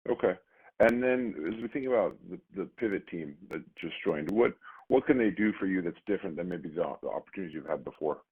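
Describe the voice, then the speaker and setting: Male analyst caller, husky low voice, casual question-asking style, lo-fi telephone sound. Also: lively intonation, fairly quick. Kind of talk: conversational earnings call questions. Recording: muffled radio-like phone line.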